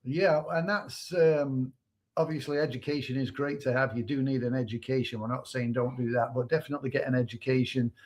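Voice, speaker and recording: Relaxed man with a Manchester accent. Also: mid-range voice, brisk. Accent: Manchester English. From England. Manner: relaxed, conversational, with fillers. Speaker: co-host. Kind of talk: casual online talk show.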